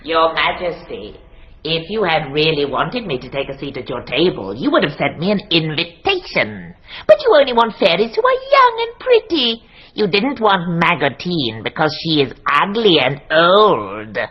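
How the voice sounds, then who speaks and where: A spiteful old fairy for a radio fairy tale. She mocks bitterly and theatrically, her lowish voice leaping up and down. The recording is slightly dull. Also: sing-song, normal speed, husky, heavily acted. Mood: bitter, accusing.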